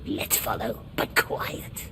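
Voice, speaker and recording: A man playing a wretched, sly cave creature in a video game, with a raspy, hissing whine, muttering about himself as "we". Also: slow pace, natural voice. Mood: hushed muttering. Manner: refers to himself as we, wheedling, muttering. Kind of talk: video game cutscene acting.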